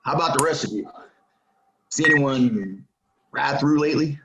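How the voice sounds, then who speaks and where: An actor playing a menacing, distrustful gunslinger stranger in a Western audio play, talking in a terse, probing way. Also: male voice, medium pitch, animated, moderate pace, very noisy, natural voice.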